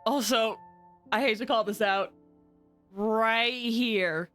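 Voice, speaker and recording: A woman's voice: on the high side, slow, a bit bright. A woman game streamer giving casual live commentary with exclamatory, gushing reactions. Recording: game music behind the voice.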